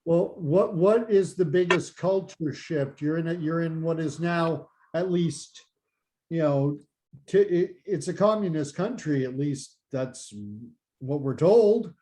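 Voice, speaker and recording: A man's voice: fairly high pitch, slow, warm tone. A man co-hosting a podcast, asking questions casually with frequent restarts. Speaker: podcast co-host.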